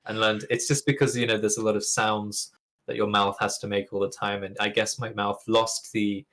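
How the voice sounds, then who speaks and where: A man's voice: on the low side, level tone, moderate pace, a bit bright. A young man from Ukraine with an English accent, whose first language is Russian, chatting as a guest in an interview and rambling with restarts. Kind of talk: casual interview conversation.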